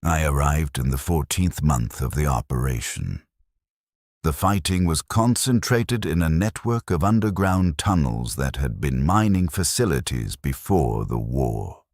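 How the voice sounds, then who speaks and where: Man, extremely low voice, grave formal story narration, expressive intonation, dry recording. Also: measured pace, no room sound. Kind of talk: scripted first-person war story narration.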